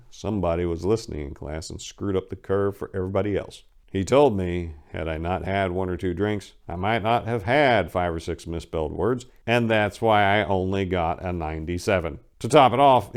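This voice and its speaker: A man giving an expressive dramatic reading in the manner of a personal memoir, shifting between emphatic and hushed delivery as a host. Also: sits low.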